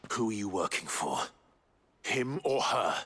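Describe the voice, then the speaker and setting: An actor voicing a stern, guarded young outlaw swordsman in a fantasy game, terse and measured. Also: on the low side, very animated. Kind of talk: dramatic game cutscene acting.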